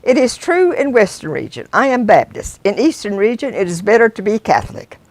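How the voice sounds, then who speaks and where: A woman's voice: medium pitch, sing-song, fairly quick, a little mellow, no room sound. A woman voicing a reckless, cheerful male taxi driver who insists and haggles emphatically. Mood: explaining.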